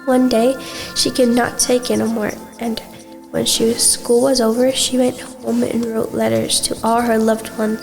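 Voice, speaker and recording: A woman's voice: fairly high pitch, vocal fry. A girl in her early teens reading a scripted story aloud as a student, over music. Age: young teenager.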